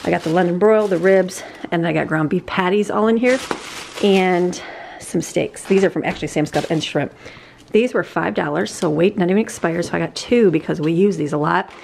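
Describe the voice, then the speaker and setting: A woman's voice: lowish voice, moderate pace, gravelly, darkish tone, a little hiss or hum. A woman in her forties chatting casually through a grocery haul on a handheld camera, laughing often and rambling. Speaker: family vlogger. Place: outdoors on a porch, earlier indoors at a kitchen counter.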